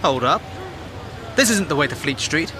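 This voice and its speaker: Audio drama role: cynical, indignant English newspaperman of the Great War male actor, high voice, noisy car ambience. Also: animated, moderate pace, lightly acted. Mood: wry, surprised. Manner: wry, indignant, plain-spoken. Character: cynical, outspoken war reporter, man, of the 1910s.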